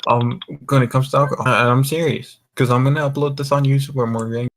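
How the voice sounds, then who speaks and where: A man's voice: mid-range voice, fairly even intonation, brisk. A drunk young man hosting a casual group chat, rambling and swearing as he goes. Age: young adult. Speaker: voice call host.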